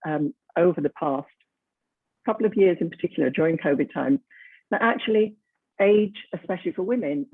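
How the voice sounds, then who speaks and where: Woman in her seventies, guest speaker, husky and frank, heard over a thin dry phone-quality line. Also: low voice, no room sound. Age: 70s. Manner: candid, reflective, laughs easily. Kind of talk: talk on a video call. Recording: dry, phone-like, tinny call audio.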